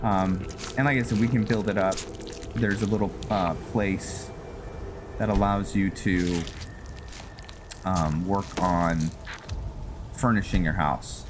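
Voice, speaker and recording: A man's voice: deep voice, steady tone, slow pace, pauses often, over music. A man giving casual, rambling game commentary, thinking aloud and often searching for words as he narrates a roleplay playthrough. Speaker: gaming video host narrating a roleplay playthrough.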